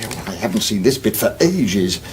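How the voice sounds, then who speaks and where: An actor playing an elderly, absent-minded, stubborn wizard in a comic fantasy radio drama, pompous and dithering. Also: male voice, lowish voice, very animated, normal speed, background noise. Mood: excited, dithering.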